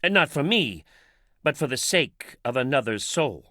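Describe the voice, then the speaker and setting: Grave, brooding patriarch, animated pitch. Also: male voice, moderate pace, dry recording, studio quality. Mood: grave, ominous. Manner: solemn, portentous, formal stilted phrasing. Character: grave, brooding town patriarch guarding his wife's memory. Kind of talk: dramatic video game voice acting.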